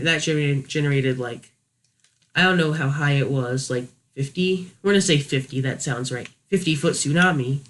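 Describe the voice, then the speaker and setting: A young man giving a casual, unscripted review, thinking aloud in a hesitant way as he goes. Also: fairly high pitch. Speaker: YouTube reviewer.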